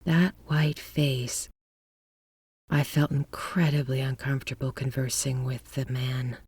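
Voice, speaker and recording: Male audiobook narrator, dramatic horror reading, breathy, measured and suspenseful, very dry sound. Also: mid-range voice, level tone, a little mellow, no echo. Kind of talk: dramatic first-person horror story narration.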